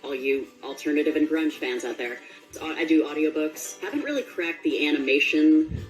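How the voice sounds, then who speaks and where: Low-voiced woman chatting in an interview. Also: animated, moderate pace, faint music behind, low-quality recording. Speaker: interviewed voice actor. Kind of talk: casual interview chat.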